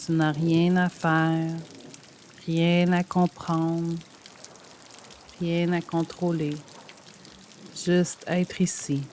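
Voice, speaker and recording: A woman guiding a yoga nidra meditation in a gentle, soothing, measured way, leaving pauses between phrases. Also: lowish voice, slight background noise. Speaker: meditation guide. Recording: steady rain sound over the voice. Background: rain sound.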